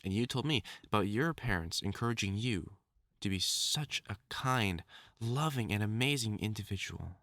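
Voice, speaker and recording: A man's voice: fairly low pitch, expressive, normal speed, a bit bright, no room sound, studio quality. A man acting a lovesick, obsessive supervillain scientist with formal, theatrical diction in a dramatic audio roleplay monologue. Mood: tender. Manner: formal, theatrical diction, tender endearments breaking into anguished outbursts.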